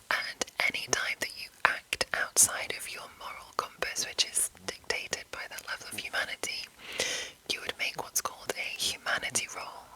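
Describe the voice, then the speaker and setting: A woman speaks in a breathy, unvoiced whisper with a very bright, crisp edge. She explains things in a soft, measured way, as an ASMR host would, rambling a little without a script. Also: low-quality recording.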